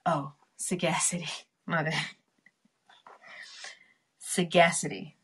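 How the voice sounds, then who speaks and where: A woman's voice: low-pitched, steady tone, takes it slowly, fairly bright tone, husky. A woman speaking plainly as an amateur reader taking part in a read-aloud. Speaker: story reader.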